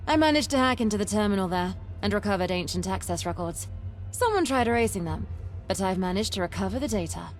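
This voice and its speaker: A woman playing an eccentric, excitable, boastful young treasure hunter in a video game, chatty and theatrical with dramatic emphasis. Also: medium pitch, fast talker, faint music behind, lightly acted. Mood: conspiratorial excitement. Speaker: game companion character.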